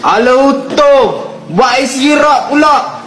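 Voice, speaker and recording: A man's voice: very high voice, expressive, a little slow, a bit bright, roomy sound, poor audio. A man speaking in a scolding, confrontational way.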